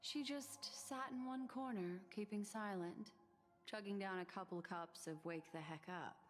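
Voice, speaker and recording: A mid-pitched, elegant woman voiced by an actress speaks as a cryptic seer in a game over clear background music. She is deliberate and knowing, gently probing with poised formality. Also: close to an everyday voice. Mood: poised, recounting. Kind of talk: theatrical video game dialogue.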